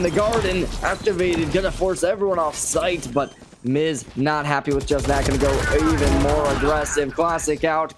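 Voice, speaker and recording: Male esports play-by-play caster, higher-pitched voice, excitable and dramatic delivery. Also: background noise. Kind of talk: live game commentary.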